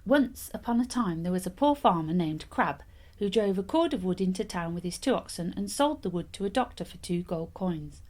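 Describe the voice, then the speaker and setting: A woman reading a fairytale aloud as an expressive storyteller. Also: fairly low pitch, normal speed.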